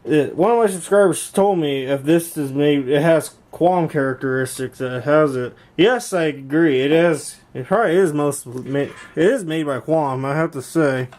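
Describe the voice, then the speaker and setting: A man's voice: a little high, normal speed, few pauses, darkish tone. A man giving casual, rambling hands-on narration of a hobby video from behind a handheld camera in a room at home, often repeating himself. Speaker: YouTube hobbyist demonstrating intercom gear. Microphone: handheld camera, speaker behind it.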